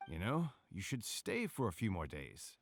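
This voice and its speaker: An actor playing a hearty, emphatic male stage performer in a video game, quick to gush or get exasperated. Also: low-pitched, huge pitch swings, full character voice. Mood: grateful, friendly.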